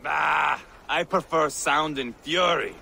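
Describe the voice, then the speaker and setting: An actor playing a fierce, boastful Viking warlord who relishes violence in a video game. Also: male voice, a little high, takes it slowly, creaky, sharp tone, slight background noise, full character voice. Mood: eager. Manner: bold, bloodthirsty, mocking bravado. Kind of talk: video game cutscene acting.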